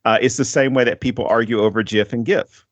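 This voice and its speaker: A man's voice: medium pitch, fairly even intonation, quick pace. A man hosting a podcast, chatting casually about a film in a rambling way and laughing often. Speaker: podcast host.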